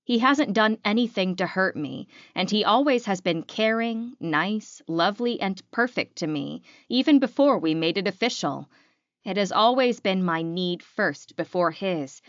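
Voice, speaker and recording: A woman's voice: on the low side, a bit bright, no room sound, lo-fi sound. A woman reading a first-person fantasy romance audiobook as scripted narration.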